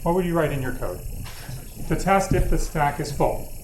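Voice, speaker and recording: Male university lecturer, slightly high voice, informal and wry, questioning students over room noise. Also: expressive, moderate pace. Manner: informal, thinking aloud, playfully wry.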